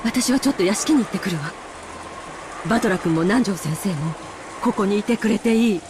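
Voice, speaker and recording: An actress playing a headstrong middle-aged aunt, curt and insistent, in an anime visual novel. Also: female voice, mid-range voice, lively intonation, rapid-fire, noisy background, natural voice.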